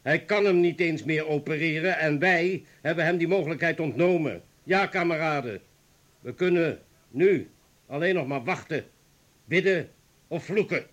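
An actor playing a grave, resolute comrade burdened by guilt, speaking deliberately in a radio play. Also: male voice, on the high side, a bit bright, muffled recording. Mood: grave, resigned.

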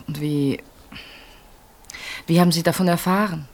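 Radio drama role: concerned woman confidante, earnest and polite, probing gently with troubled questions. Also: low voice, fairly even intonation, measured pace. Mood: anxious, probing. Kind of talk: German radio play acting.

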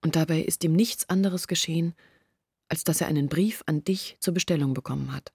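A woman's voice: low voice, brisk, a little mellow, breathy edge, no echo, studio quality. An actress playing a young village woman who earnestly confides her family's troubles in a radio play. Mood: earnest, confiding. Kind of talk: German radio play monologue.

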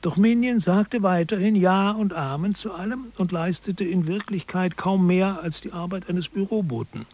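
A narrator in a satirical audio drama. His voice sits fairly high for a man. He tells the scripted material in an orderly, matter-of-fact way, as a co-author reporting findings. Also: normal speed, hardly any pauses, darkish tone, a little hiss or hum. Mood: storytelling.

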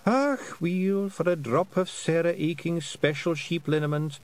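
A man voicing a dying old fairy clan mother with a Scottish accent, speaking slowly and firmly in a raised voice. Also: natural voice. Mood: commanding, frail. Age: over 60. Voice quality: slow, raised-pitch, firm old-woman voice.

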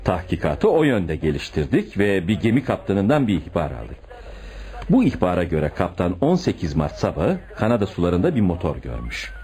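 An actor playing an eager, self-assured police detective reporting to a superior in a radio drama. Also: male voice, deep voice, wildly swooping pitch, normal speed, warm tone. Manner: confident, reporting.

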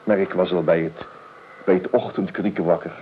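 Actor as deep-voiced, hungover, melodramatic gentleman on old radio. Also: steady tone, natural voice. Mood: fussy, weary. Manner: halting, fussy, theatrically weary. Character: grumbling, hungover, melodramatic late-sleeping gentleman, lyrical and self-pitying. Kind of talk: Dutch radio play acting. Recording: narrow, hissy old radio recording.

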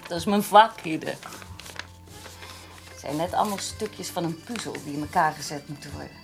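Direct, low-voiced, teasing woman. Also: moderate pace, creaky, soft background music. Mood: proud, matter-of-fact. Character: self-assured woman illustrator, teasing and direct. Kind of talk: naturalistic radio drama dialogue.

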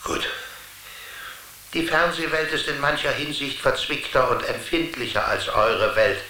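An actor playing a touchy, talkative television character in a children's radio play, explaining things theatrically. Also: male voice, medium pitch, measured pace, bright, forceful tone, roomy sound, a little hiss or hum, rough recording. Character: touchy, talkative male TV character living inside the screen.

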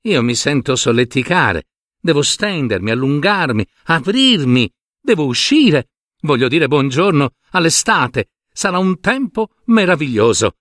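A man's voice: a little high, very animated, unhurried, fairly bright tone, dry recording, studio quality. A man voicing an eager, impatient young flower in a storybook tale, full of yearning. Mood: impatient longing.

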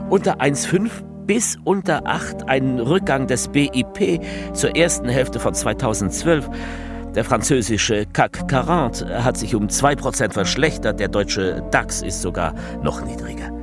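An actor playing a grave, fervent political orator, delivering a rhetorical, slightly absurd theatrical speech with deliberate phrasing and emphatic repetition. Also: male voice, mid-range voice, natural voice.

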